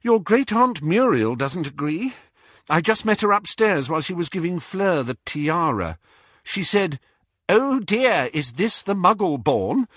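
A man voicing an indignant, articulate teenage girl in an audiobook, with a brisk, pointed manner. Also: sits high, big pitch swings, a bit bright, no echo, clean studio sound, pitched above the performer's own voice, heavily acted.

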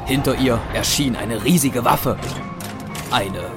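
A man's voice: mid-range voice, slow pace, lightly acted. A man narrating a horror action audio drama in a grave, scripted storytelling style. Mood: grave, suspenseful. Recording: music under the narration. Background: dramatic music.

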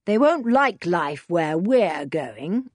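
A scheming scientist barks orders in a brusque, commanding way, as a woman reads him aloud. The voice is husky and swings through a lively range, recorded close and dry. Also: fairly low pitch, slow, dry recording, full character voice. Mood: menacing. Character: scheming male villain scientist, curt. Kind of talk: storybook character voice.

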